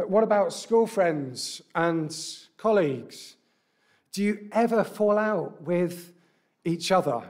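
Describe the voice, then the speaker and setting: Guy preaching at a lectern mic, bit croaky, higher voice, chatty with lots of ums and questions to the room. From England. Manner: conversational, with filler ums and rhetorical questions. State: slightly croaky from a cough. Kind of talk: sermon. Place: large church hall with brick wall, indoors. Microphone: stand mic at a lectern.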